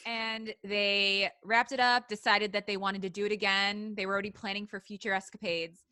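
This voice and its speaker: Giggly woman with a bright, dry voice. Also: medium pitch, level tone, moderate pace, dry recording. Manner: giggly, hesitant when recapping. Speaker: podcast co-host. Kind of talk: casual comedic chat among friends. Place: recorded remotely over a video call.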